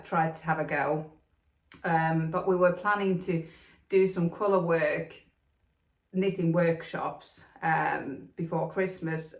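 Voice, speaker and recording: A woman from Finland hosting a knitting podcast, talking casually with lots of ums and an upbeat, chatty manner. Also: lowish voice. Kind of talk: casual chat to camera. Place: home room with plastic extension, rain outside. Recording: echoey room.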